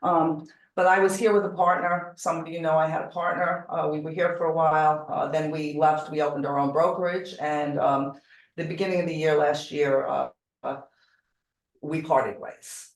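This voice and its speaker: A woman's voice: deep voice, steady tone, normal speed. An older woman in her sixties speaking casually and bluntly to a group, repeating her points for emphasis. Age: older, 60s. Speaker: real estate agent. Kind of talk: casual self-introduction to a group. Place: indoor group meeting room. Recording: echoey room, distant microphone.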